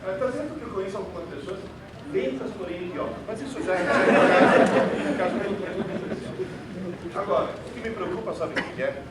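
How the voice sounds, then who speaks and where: A high-voiced man lectures an auditorium audience in a theatrical, sarcastic, emphatic way, and Portuguese is not his first language. He is picked up from far off in a roomy hall. The recording carries clear background noise. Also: lively intonation, brisk, a loud crowd. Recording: echoey hall, distant mic, noisy.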